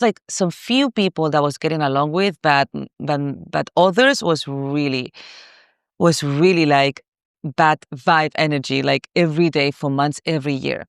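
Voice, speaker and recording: A woman in her thirties, from Morocco, shares her own story in a masterclass, talking candidly and looping back over her words with plenty of fillers. Her voice is smooth and resonant. The recording is dry and close, with no room sound. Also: deep voice, no echo. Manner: candid, repetitive, with many "like" fillers. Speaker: spiritual coach.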